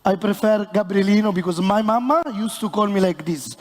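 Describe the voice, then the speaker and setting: A man with an Italian accent, a bartender presenting on stage in a joking, halting way with many fillers. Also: high-pitched. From Italy. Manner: halting and playful, many fillers, self-mocking banter. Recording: long hall reverb.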